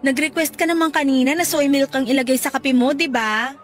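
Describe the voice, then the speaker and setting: A woman's voice: sits high, fairly even intonation, fairly quick, lightly acted. An actress playing a teasing, frank and protective young Filipino woman, the best friend in a radio drama. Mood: frank, scolding.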